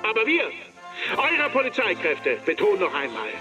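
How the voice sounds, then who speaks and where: An actor playing a pompous, boastful police chief who declaims a public speech in a radio drama. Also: male voice, high-pitched, huge pitch swings, normal speed, music in the background. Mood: bombastic, defiant. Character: pompous, boastful male Ghanaian police chief addressing the press.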